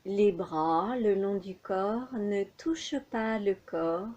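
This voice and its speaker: A woman leading a guided yoga nidra relaxation as an instructor, giving calm, soothing, measured instructions with long pauses. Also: mid-range voice, highs cut off.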